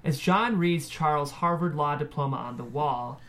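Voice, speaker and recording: A man's voice: a little high, normal speed, a bit bright. A man reading the stage directions as narrator of a screenplay table read.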